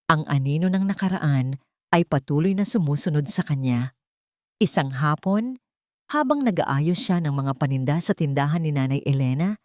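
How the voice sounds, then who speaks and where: Low-voiced woman narrating a dramatic story. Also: darkish tone, no echo, clean studio sound. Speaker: audiobook narrator.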